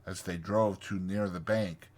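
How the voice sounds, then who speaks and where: A man narrating a classic storybook in a theatrical, deliberate way, as a dramatized audiobook. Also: low voice, steady tone.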